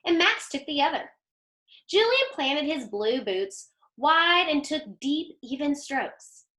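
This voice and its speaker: A woman giving an expressive, teacherly picture-book read-aloud and putting questions to young listeners. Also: high-pitched. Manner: animated, teacherly. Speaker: teacher reading aloud to children.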